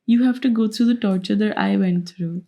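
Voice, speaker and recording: Brief: young female co-host, soft voice with gravelly fry, sarcastic and dismissive. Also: mid-range voice, brisk. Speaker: podcast co-host. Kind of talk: casual chat.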